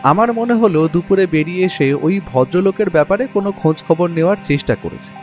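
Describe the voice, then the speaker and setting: Man acting as detective's companion, first-person narrator, somewhat high-pitched, curious, watchful, audio story, music behind. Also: animated, brisk, a little mellow. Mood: reflective.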